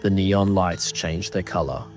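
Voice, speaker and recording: A man narrating a horror audio drama in a grave, deliberate, dramatic way, reading ornate scripted prose. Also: deep voice, faint music behind.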